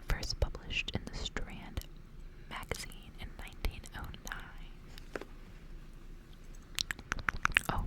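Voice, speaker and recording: A woman whispers throughout, with no full voice behind the breath. Her delivery is soft, unhurried and intimate, in the style of an ASMR host chatting and reading aloud to the listener. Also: low-quality recording.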